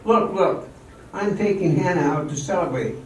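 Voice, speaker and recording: A man's voice: high-pitched, moderate pace, close to an everyday voice. A man playing a confident, pushy, doting show-business sweetheart in an amateur radio drama, recorded echoey and distant. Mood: boastful. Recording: echoey, distant mic, faint noise.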